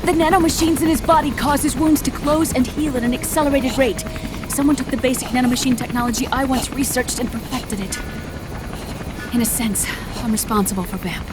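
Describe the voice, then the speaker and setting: A woman playing an earnest, remorseful scientist in a video game cutscene, explaining things gravely. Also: high voice, brisk, over loud music, close to an everyday voice, low-quality recording. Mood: earnest, regretful. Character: remorseful female scientist, earnest and burdened by guilt. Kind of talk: English-language video game cutscene acting. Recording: loud helicopter noise behind the voice.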